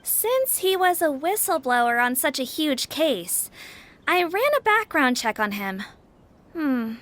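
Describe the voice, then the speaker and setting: An actress playing a thoughtful woman in a video game, speaking slowly and deliberately. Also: high-pitched, very animated, fairly bright tone, heavily acted.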